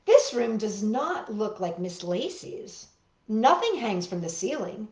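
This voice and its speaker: A woman hosting storytime, reading a picture book aloud in an expressive, exaggerated way with drawn-out words. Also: medium pitch, echoey room. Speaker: children's storytime host and reader.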